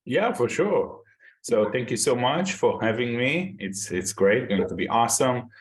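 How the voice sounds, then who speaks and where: A man's voice: mid-range voice, expressive, measured pace, rough recording. A man with an Israeli accent, working as a coach, introducing himself in a friendly, upbeat way in a round-table discussion. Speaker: business coach.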